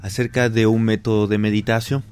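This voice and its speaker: A man's voice: lowish voice, level tone, brisk, darkish tone, smooth. A man from Argentina, a teacher explaining things as a guest in a radio interview.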